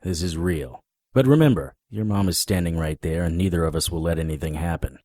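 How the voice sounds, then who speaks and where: An actor playing a wry, world-weary male paranormal investigator, narrating a first-person noir audio drama in a deadpan manner. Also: sits low, fairly quick, no room sound, clean studio sound. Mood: calm, reassuring.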